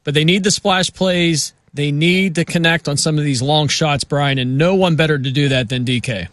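A man with a somewhat high voice hosts a football talk segment. He is upbeat and joking as he moves the show along. The recording is dry and close. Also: moderate pace, fairly bright tone, no echo. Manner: upbeat, jokey, runs the segment. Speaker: sports talk show host. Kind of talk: sports talk show hosting.

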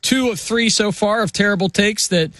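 A man's voice: high voice, moderate pace, fairly bright tone, no echo. A man hosting a sports radio show, talking in an upbeat, casual way and laughing easily. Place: radio studio.

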